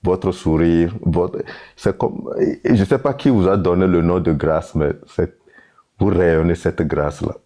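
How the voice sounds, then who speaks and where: A man's voice: low-pitched, a little slow, dark tone. A young man with a Togolese accent giving an earnest, casual tribute, dropping in English connecting words. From Togo. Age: young adult. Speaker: participant and moderator in a live online panel.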